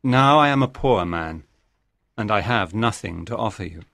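An actor playing a reserved, honourable Victorian gentleman, restrained, formal and sincere, in an audiobook. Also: on the low side, animated, moderate pace, gravelly, no echo. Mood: sad.

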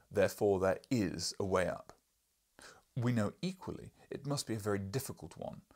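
A man's voice: on the low side, creaky. A man voicing an imperious, irascible older professor and explorer in an audiobook, lecturing pompously in a declamatory way. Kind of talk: audiobook character reading.

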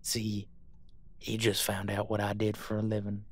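A man's voice: lowish voice, monotone, normal speed, close to an everyday voice. A man voicing a vengeful, taunting monster hunter with a menacing drawl in an audio roleplay. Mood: bitter.

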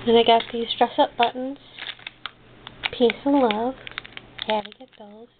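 A woman giving a casual, halting show-and-tell of her craft haul, trailing off and rephrasing as she goes. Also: a little high, steady tone. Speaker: hobby crafter showing purchases. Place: indoors at a desk. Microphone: handheld camera's built-in microphone. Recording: muffled phone-like sound, clicky background noise.

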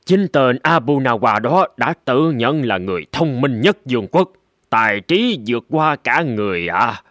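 A man's voice: medium pitch, big pitch swings, normal speed, fairly bright tone, no echo, studio quality. A man playing a spiteful, scheming town official in a cartoon folk tale. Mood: sly, obsequious.

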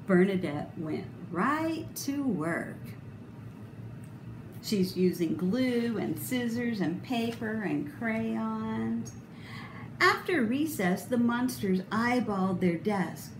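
A woman in her fifties reading aloud to children expressively, with deliberate, dramatic emphasis. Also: fairly high pitch, expressive. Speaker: storybook reader. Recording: roomy, noticeable background noise.

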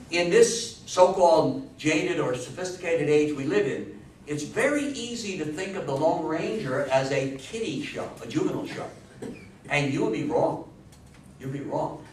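Man in his sixties, high voice, animated lecturer, emphatic repetition, questions to listeners, distant recording with some noise. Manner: emphatic storytelling, repeats phrases, asks the audience questions. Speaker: lecturer on old-time radio history. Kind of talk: animated public talk to an audience. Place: meeting room with seated older audience. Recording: roomy, distant camera mic with background noise.

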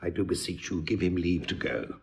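A man's voice: low voice, a little fast, creaky. An actor playing an old, wordy, dutiful father and counsellor, formal and laboured, in classical drama. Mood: pleading. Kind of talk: classical stage drama acting.

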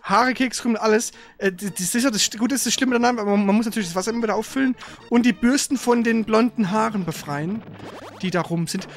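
Man, casual game streamer, chatty, rambling, clear music in the background. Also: high-pitched, fast. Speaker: streamer and co-host.

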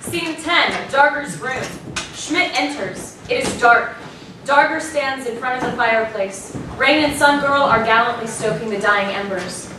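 A woman's voice: on the high side, measured pace. A woman reading stage directions as scripted narration. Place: small hall, staged reading before an audience. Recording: very echoey, distant, noisy room.

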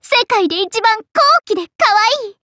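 A woman's voice: very high-pitched, normal speed, bright, forceful tone, no room sound. A woman voicing a vain, bubbly teenage dragon-girl idol princess in an anime game, with theatrical, singsong, boastful delivery. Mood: smug, playful. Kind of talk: anime game character voice lines.

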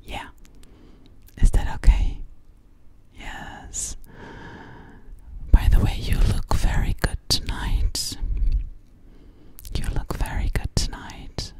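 A woman's voice: slow, pauses often, a little mellow, lightly acted. A young woman playing a sweet, slightly sassy alien girl in a soothing ASMR roleplay, whispering breathily close to the microphone and repeating her words. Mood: sweet, coy. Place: small dim living room, indoors. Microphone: close studio microphone with foam cover, binaural.

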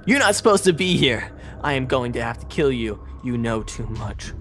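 Guy putting on a cold killer animatronic bear, slow n threatening, voice pushed down, minecraft rp. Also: medium pitch, big pitch swings, fairly bright tone, soft background music, pitched below the performer's own voice. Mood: menacing. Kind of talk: improvised Minecraft roleplay.

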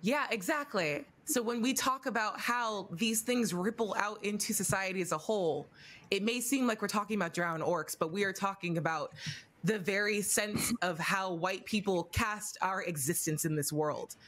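A young woman speaking in a measured, pointed way, building her argument step by step as a panelist, on a headset at home. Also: mid-range voice, a bit bright. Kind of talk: impassioned roundtable discussion. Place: home room, indoors.

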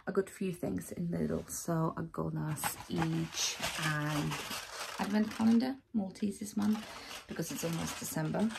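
A woman's voice: on the low side, gravelly, a little mellow, slight background noise. A woman in her twenties chatting casually to her vlog audience, recorded on a phone in a small room at home. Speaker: vlogger.

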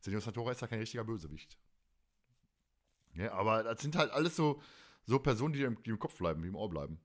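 A man's voice: on the low side, sing-song, fast. A man co-hosting a fan podcast, chatting casually in a colloquial, joking way. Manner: colloquial, jokey. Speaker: podcast co-host.

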